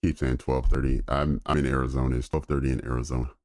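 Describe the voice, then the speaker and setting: Man with a very deep, dry voice. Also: fast talker, no room sound. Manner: relaxed, thinking aloud with fillers. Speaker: day trader streaming live. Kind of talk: live trading commentary.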